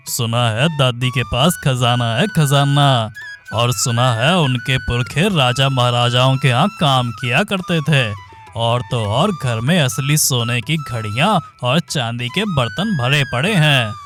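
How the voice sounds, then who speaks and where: A gossiping old village uncle in a cartoon story, put on by a man, pitched well below the performer's own voice and pushed loud. He spreads rumors with relish. Music plays clearly behind him. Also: lightly acted. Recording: background music.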